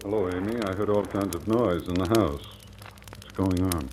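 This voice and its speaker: A man's voice: deep voice, moderate pace, close to an everyday voice. An actor playing a hard-boiled, cynical small-town newspaperman with a grudge in old-time radio drama style. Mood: wry, evasive. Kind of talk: 1940s-50s radio drama acting. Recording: noisy, crackling old radio recording.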